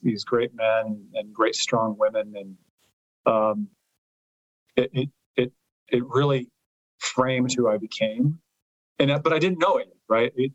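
A man's voice: medium pitch, no room sound, highs cut off. Rambling middle-aged man telling family stories. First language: Italian. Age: 50s. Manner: rambling, repeats words while finding his thought. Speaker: guest, lawyer and author. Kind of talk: storytelling interview.

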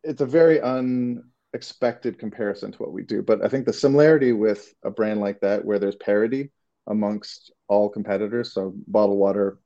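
A man's voice: fairly low pitch, moderate pace, warm tone, highs cut off. A man from Canada with a Canadian accent, answering interview questions casually and hesitantly, with many restarts. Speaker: creative director, guest.

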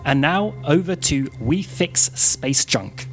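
A man announces scripted credits in a fairly high voice with very lively intonation. Loud music plays behind him. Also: slow.

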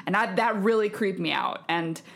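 A woman's voice: mid-range voice, expressive, moderate pace, vocal fry, fairly bright tone. Casual female podcast reviewer. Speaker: podcast co-host and film reviewer. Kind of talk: casual film discussion.

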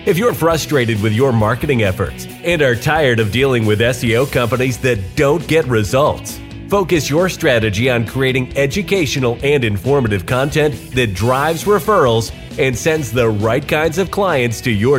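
A male announcer reads a polished sponsor spot in an even, smooth promotional voice, with soft guitar music playing quietly underneath. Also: medium pitch, normal speed. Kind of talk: scripted sponsor read. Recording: voice over faint background music.

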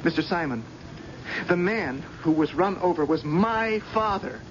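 A grieving, determined young fellow in a radio drama. His high male voice is earnest and resolute, set in a dull broadcast recording with faint noise. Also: expressive, measured pace. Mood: determined, intense.

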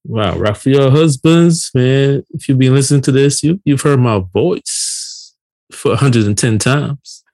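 A man's voice: medium pitch, a little slow, a little mellow, dry recording. Late-thirties man from Barbados, dry close sound. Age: late 30s. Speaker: podcast co-host. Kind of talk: casual podcast chat.

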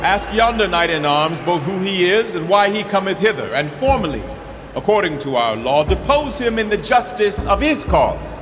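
A man's voice: sits high, big pitch swings, moderate pace. An actor playing an imperious king in a Shakespearean radio drama, giving formal, commanding orders in verse. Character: imperious king presiding over ceremony. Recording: long hall reverb. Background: music.

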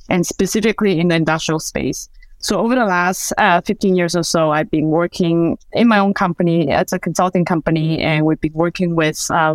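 A woman's voice: on the low side, no echo. A woman in her forties from Taiwan, a podcast guest telling her career story in a thoughtful, conversational way with a few restarts. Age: 40s.

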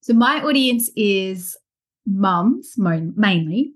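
A woman's voice: mid-range voice, expressive, slow pace. A woman, hosting a casual interview podcast in an enthusiastic, chatty way. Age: 40s. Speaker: podcast host.

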